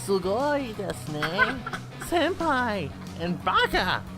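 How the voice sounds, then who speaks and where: A man's voice: high voice, very animated, slow, loud music behind the voice, heavily acted. A man playing a geeky game developer giving a tongue-in-cheek presentation in a nasal, whiny voice, in a voiced game. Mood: upbeat, mock-serious. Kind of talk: presentation, visual novel game voice acting.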